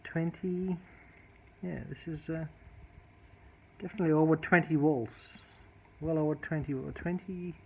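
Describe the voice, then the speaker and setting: A narrow, phone-like recording of a man with a slightly high voice. He walks through a technical repair in an unscripted, methodical way, thinking aloud as he demonstrates each step. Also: fairly even intonation, a little slow, pauses often, slight background noise. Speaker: electronics repair hobbyist demonstrating a fix. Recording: thin phone-like band-limited sound.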